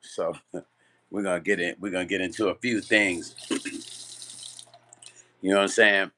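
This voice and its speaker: A man with a strained, partly lost voice, chatting loosely on a livestream and greeting viewers by name, clearing his throat often. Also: sits low, fairly even intonation, a little slow, sharp tone. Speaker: music vlogger and producer. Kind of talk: casual livestream chat.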